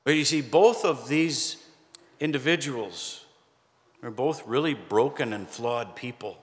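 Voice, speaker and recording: A middle-aged man preaching a sermon from a pulpit, conversational and lightly humorous, pausing for emphasis. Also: medium pitch, animated. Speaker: pastor. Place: church sanctuary, standing at a pulpit. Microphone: pulpit microphone, far camera. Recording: long church reverb.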